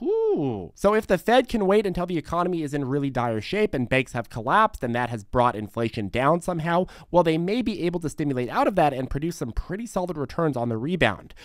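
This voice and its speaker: Higher-pitched American man, slightly hoarse, dry sound. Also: no room sound. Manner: emphatic and colloquial, repeating words for effect. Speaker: host of a stock market video channel. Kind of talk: energetic financial commentary to viewers.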